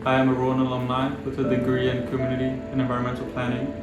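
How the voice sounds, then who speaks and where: Young man from the Dominican Republic, 20s; measured, even delivery, very roomy echo and music under. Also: medium pitch, lo-fi sound. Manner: measured and explanatory. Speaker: planning graduate and local resident. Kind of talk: documentary interview. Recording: very echoey room with music behind.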